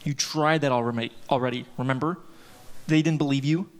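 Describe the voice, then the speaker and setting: A man's voice: medium pitch, fairly even intonation, normal speed, natural voice. A man reading an impulsive teenage boy who leads his friends, defensive and hot-tempered, at a live table read.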